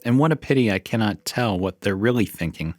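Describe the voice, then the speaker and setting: A man's voice: lowish voice, lively intonation, a little fast, darkish tone, no room sound, studio quality. A man reading as narrator in a scripted podcast style. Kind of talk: scripted dark story narration and podcast promo read.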